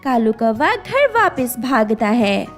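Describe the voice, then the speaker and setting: A woman's voice: a little high, very animated, slow, soft background music. A woman narrating a scripted story for children.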